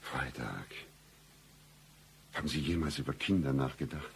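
Very deep-voiced seedy detective. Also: male voice, normal speed, vocal fry, slight background noise. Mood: florid, seductive. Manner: florid, melodramatic seductive patter with deadpan humour. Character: seedy broke male private detective, absurdly flirtatious. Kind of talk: satirical radio play dialogue.

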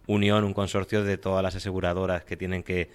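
A man interviewing for a local radio station, explaining things in a halting way with many restarts. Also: sits low, level tone. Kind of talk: interview questions.

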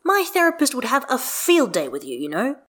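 Female reader as bookish, exasperated male story character; formal wording, emphatic, raised and animated pitch. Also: moderate pace, heavily acted. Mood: dry, insistent. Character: earnest, wordy, exasperated scholarly man.

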